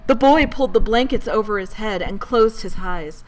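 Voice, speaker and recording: A woman's voice: medium pitch, lively intonation, normal speed, a little mellow, poor audio. A woman narrating scary tales as a bedtime storyteller, theatrical and full of suspense. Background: background music.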